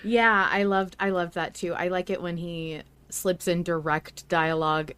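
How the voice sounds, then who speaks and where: A woman hosting a casual fan podcast, chatty and reflective, quick to laugh. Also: fairly low pitch, little pitch movement, fairly bright tone, clean tone. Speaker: podcast host.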